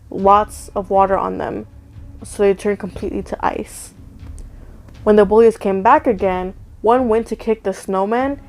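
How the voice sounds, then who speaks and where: A woman's voice: mid-range voice, darkish tone. A woman reading a first-person story aloud in a casual, conversational way. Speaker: story narrator.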